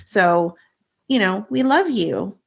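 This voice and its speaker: A woman's voice: mid-range voice, animated, takes it slowly, vocal fry. Woman, podcast co-host, earnest heartfelt family chat, mid-range voice. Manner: earnest, heartfelt, many "you know" fillers.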